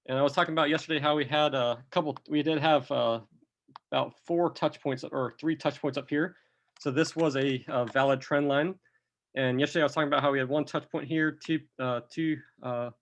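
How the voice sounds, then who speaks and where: A man who is a bit under the weather, with a cough, walking through price charts in a relaxed, step-by-step commentary. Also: mid-range voice. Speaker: crypto chart analyst. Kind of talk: screen-recorded chart walkthrough.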